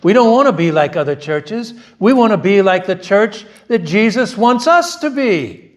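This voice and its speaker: A middle-aged male pastor preaching a bilingual sermon in a church, loud and emphatic, firing off rhetorical questions and shifting between Korean and English. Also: sits high, very animated, moderate pace. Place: church sanctuary.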